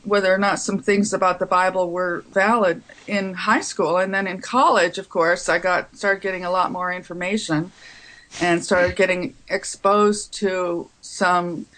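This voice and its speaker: An older woman, raised in Taiwan, answering interview questions as a psychologist in a calm, measured way. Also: fairly low pitch, poor audio. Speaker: psychologist guest.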